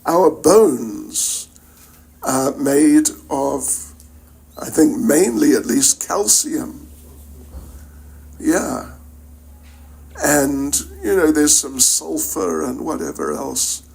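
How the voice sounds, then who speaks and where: Slow, gravelly older New Zealand man lecturing. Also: on the high side, expressive, darkish tone. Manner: halting, reflective, conversational, checks in with listeners. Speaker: spiritual teacher giving a lecture. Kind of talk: informal religious lecture to an audience.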